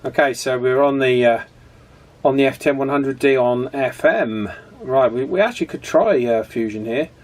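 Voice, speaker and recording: A man's voice: medium pitch, normal speed. Man chatting on the radio. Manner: hesitant, conversational, tag questions. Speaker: amateur radio hobbyist and video host. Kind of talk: casual radio chat and video narration.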